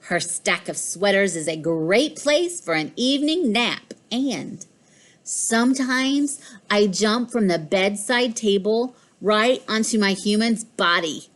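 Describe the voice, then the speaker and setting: A woman voicing a smug, mischievous pet cat in a storybook, with a boastful, emphatic delivery. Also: medium pitch. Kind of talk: storybook read-aloud.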